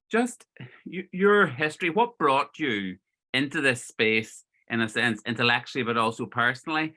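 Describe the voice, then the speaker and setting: A man hosting an interview, speaking in a halting, conversational way. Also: mid-range voice, bright, forceful tone. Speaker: interviewer and event host. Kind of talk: introduction and interview questions.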